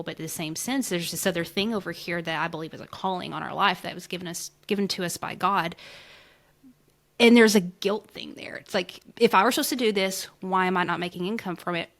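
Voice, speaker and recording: A woman's voice: fairly low pitch, fairly bright tone. A woman hosting a casual interview over a video call, speaking in an effusive, emphatic, slangy way. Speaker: podcast host interviewing a guest.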